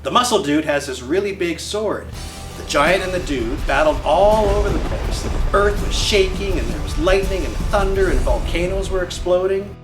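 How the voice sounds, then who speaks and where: A man's voice: high-pitched, lively intonation, normal speed. A man reads a children's storybook aloud as a brash boy narrator. His delivery is slangy and emphatic, recorded in an echoey room with faint music behind him. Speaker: teacher reading a picture book aloud. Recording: roomy echo with faint music.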